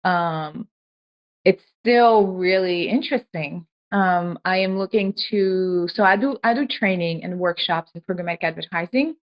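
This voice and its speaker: A woman's voice: lowish voice, unhurried. Casual woman from Senegal, slightly muffled. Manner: casual, relaxed with slang. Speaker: podcast host, programmatic trainer. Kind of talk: conversational interview. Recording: dull lo-fi sound.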